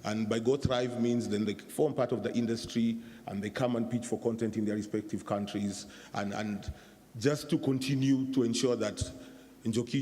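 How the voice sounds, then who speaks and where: A man's voice: lowish voice, warm tone. A man talking on a conference panel, often repeating words as he gathers his thoughts. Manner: repeats words while thinking, casual asides. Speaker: industry executive on a panel. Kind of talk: panel talk. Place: conference hall. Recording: long reverb of a hall.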